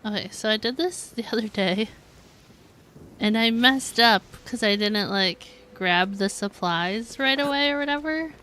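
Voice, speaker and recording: A woman's voice: a little high, unhurried, pushed and bright, slight background noise. A woman game streamer giving chatty, informal, self-deprecating live commentary as she plays. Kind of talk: casual live gameplay commentary.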